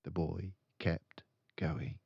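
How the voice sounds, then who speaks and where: An older man narrating a children's story aloud in an expressive storytelling style. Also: low voice, very slow, creaky, breathy edge, dry recording, lo-fi sound. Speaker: storyteller reading a children's book.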